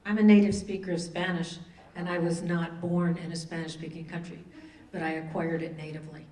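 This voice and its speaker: A woman's voice: on the low side, flat delivery, brisk, dark tone. A woman moderating a public panel discussion in wordy, academic phrasing. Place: indoor event room with an audience. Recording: echoey room, distant mic, faint noise.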